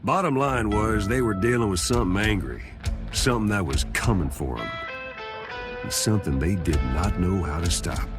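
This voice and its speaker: An actor playing a wry old talking skull who narrates a game's cutscenes in a folksy, sardonic Southern American drawl. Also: male voice, low voice, animated, moderate pace. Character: wry old talking skull, male demonic drifter storyteller. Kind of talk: scripted game cutscene narration. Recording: music behind the voice.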